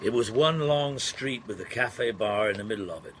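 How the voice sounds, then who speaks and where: An actor playing a weary, dogged middle-aged police detective who narrates his case in a radio drama, with a gravelly voice. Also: on the low side, moderate pace, slight background noise, close to an everyday voice, rough recording. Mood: reflective, brooding. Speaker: narrator and lead character. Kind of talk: radio drama acting, first-person narration.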